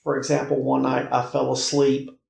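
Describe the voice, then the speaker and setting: An American man casually recounting his own experiences as a guest, in halting, emphatic phrases and short repetitions. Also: medium pitch, monotone, gravelly, darkish tone. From North Carolina, United States. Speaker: podcast guest recounting personal paranormal experiences. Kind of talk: casual conversational storytelling. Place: indoor room, in-person conversation. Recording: roomy, echoey indoor recording.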